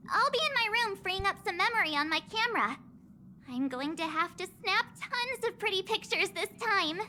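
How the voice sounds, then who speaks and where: A woman's voice: very high-pitched, animated, normal speed, bright, forceful tone, a little hiss or hum, heavily acted. An actress voicing a perky, enthusiastic teenage girl in a video game, bubbly and chatty. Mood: excited. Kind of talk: scripted game dialogue.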